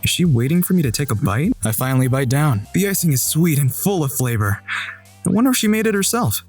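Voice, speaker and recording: A man voicing an awkward teenage schoolboy who narrates his own thoughts in an even, wry way, for a fan dub of a visual novel. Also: on the high side, quick pace, slightly rough. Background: faint music.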